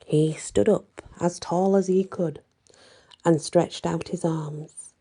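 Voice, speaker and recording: A woman reading a story aloud in an ASMR bedtime style, with halting, deliberate phrasing. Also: fairly low pitch, steady tone, dark tone, breathy edge.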